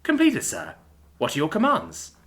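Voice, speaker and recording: A man doing an eager, high-voiced helper. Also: huge pitch swings, normal speed, bright, forceful tone, exaggerated voice.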